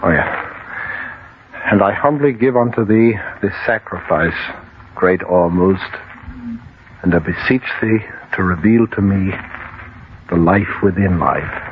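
An obsessed, fervent man, pressing his case earnestly in a lowish voice. It is old-time radio horror acting, on a thin, tinny vintage recording with some noise. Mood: hushed, reverent. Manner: earnest, insistent, rhetorical questioning.

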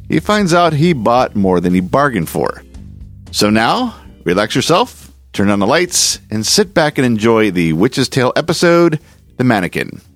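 Male host, scripted eerie introduction, animated intonation, music bed behind. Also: mid-range voice. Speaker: podcast host.

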